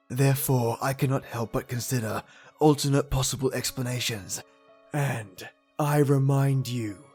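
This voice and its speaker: A man playing a grave investigator in a game dub, narrating a grisly case in a measured, formal, brooding way with a husky voice. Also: mid-range voice, a little mellow.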